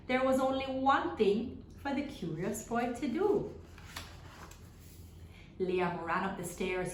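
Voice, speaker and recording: Higher-voiced woman reading aloud to children, echoey room. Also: slight background noise. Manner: asks simple, repeated questions as to small children. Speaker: storyteller reading a picture book aloud to children. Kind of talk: expressive read-aloud with questions to young listeners.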